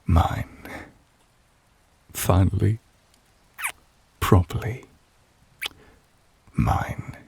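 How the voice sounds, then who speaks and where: A man playing a devoted, possessive yandere witch in an intimate ASMR roleplay, speaking softly and breathily, often near a whisper. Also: deep voice, vocal fry, husky, heavily acted. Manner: slow, tender, murmured endearments.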